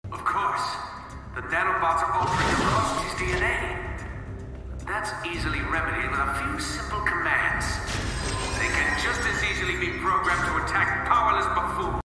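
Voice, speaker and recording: An actor playing an arrogant, vengeful mad scientist villain in a video game, gloating and grandiose. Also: male voice, sits high, moderate pace, close to an everyday voice. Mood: smug, menacing. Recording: echoey hall, distant, loud music.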